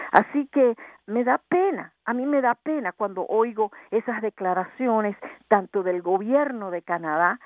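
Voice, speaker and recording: A Venezuelan-born woman talks with passion and indignation, pressing each point hard. Her voice comes through a tinny phone line with no room sound. She sits at a middling pitch. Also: no echo. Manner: impassioned, indignant, emphatic. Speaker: sociologist guest. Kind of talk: phone interview. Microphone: telephone.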